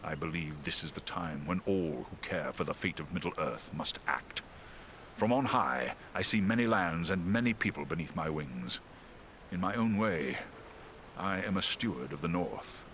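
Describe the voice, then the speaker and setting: Giant noble eagle, very deep voice, sweeping intonation, grave, earnest, fantasy game. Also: male voice, lively intonation, moderate pace, a bit bright, slight background noise. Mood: noble resolve. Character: noble, brave great eagle, male, steward of the North. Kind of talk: dramatic fantasy game acting.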